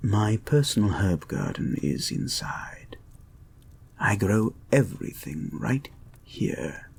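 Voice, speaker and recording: A creepy, controlling therapist acted by a man in an intimate hypnosis audio drama. His voice is low and gravelly, breathy at the edges, and he speaks softly with very deliberate, polite phrasing, swaying widely in pitch as he soothes. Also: full character voice. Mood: gently inviting. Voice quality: breathy, husky, often dropping to a whisper. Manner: soft-spoken, deliberate, halting, formally polite. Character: creepy, soothing male experimental therapist, calmly controlling and intent. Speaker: audio roleplay performer.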